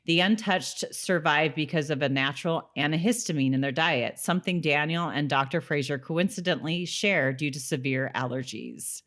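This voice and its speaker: A woman's voice: low voice, moderate pace, few pauses, fairly bright tone. A woman co-hosting a podcast, chatting casually as she recaps a TV episode. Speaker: podcast co-host.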